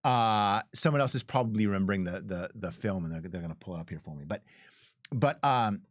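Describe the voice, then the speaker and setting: A man's voice: lowish voice, animated, brisk, no echo, studio quality. A man speaking casually and unscripted as a game developer, emphatic and often repeating and restarting his phrases. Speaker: game developer on a commentary stream. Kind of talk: casual unscripted conversation about game design.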